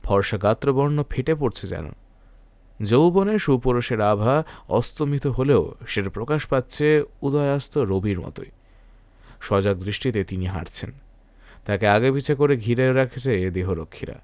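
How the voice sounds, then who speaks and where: A man's voice: medium pitch, expressive, measured pace, darkish tone, no room sound. A man narrating a scripted detective thriller in a literary, dramatic storytelling manner.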